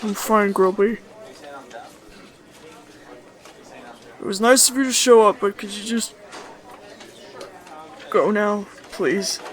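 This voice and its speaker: A woman playing a worn-out, worried male skeleton in an audio drama, halting and evasive. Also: medium pitch, steady tone, close to an everyday voice. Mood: evasive, irritable.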